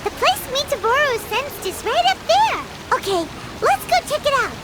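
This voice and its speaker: A woman voicing a tiny, childlike fairy companion in a video game, squeaky, perky, feisty and quick to get indignant. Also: very animated, a bit bright, a little hiss or hum, heavily acted, low-quality recording. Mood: doubtful. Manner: perky, indignant, refers to self by name. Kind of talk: cartoonish video game character acting. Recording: game music under the voice. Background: video game music.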